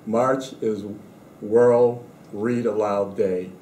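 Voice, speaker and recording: A man on a school staff reading aloud to children in a measured, deliberate way. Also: fairly low pitch, steady tone, warm tone. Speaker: school administrator introducing and reading a story. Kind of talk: scripted read-aloud for children. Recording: roomy echo with faint background noise.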